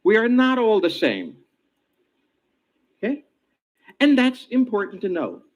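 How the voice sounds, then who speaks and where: A man's voice: high voice, huge pitch swings, a little slow. A man from Hungary with Hungarian as his first language, giving a wry, jokey speech to an audience. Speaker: speaker in a played lecture clip. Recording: dull, lo-fi clip audio.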